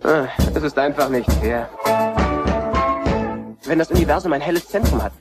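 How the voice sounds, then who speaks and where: Frustrated young farm boy male actor, higher-pitched, film dub delivery, longing to get away, loud music behind. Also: animated, moderate pace, lightly acted. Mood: frustrated, whining. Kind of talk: German-dubbed film dialogue. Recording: film clip under loud guitar music.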